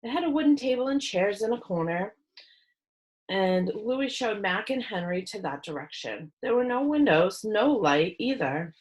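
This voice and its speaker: A woman reading a children's book aloud as a storyteller, with expressive narration. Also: mid-range voice, normal speed.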